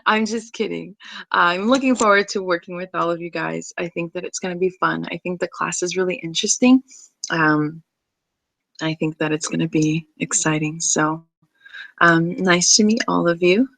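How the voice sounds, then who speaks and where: A woman's voice: fairly low pitch, fairly even intonation, moderate pace, vocal fry, a bit bright, no room sound, poor audio. A woman in her thirties, originally from Brazil, chatting casually as she introduces herself to a class, with many ums and restarts. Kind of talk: casual self-introduction video.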